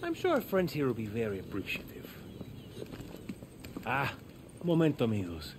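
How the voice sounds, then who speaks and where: Game crime boss: polite menace, condescending, very varied intonation. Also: male voice, medium pitch, huge pitch swings, background noise. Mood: courteous menace. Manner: courteous, condescending, unhurried. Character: smooth-talking, coldly menacing male smuggler boss. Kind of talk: video game cutscene acting.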